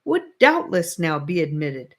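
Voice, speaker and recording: A woman narrating an old religious allegory as an audiobook, with measured, solemn storytelling. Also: low-pitched, very animated. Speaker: audiobook reader.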